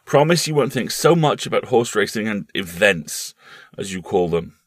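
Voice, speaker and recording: A man's voice: on the low side, sing-song, moderate pace, dry recording, studio quality. A man voicing a tired, anxious mother who speaks firmly to her son. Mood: weary. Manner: firm yet tired, worried. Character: weary, anxious, stern mother.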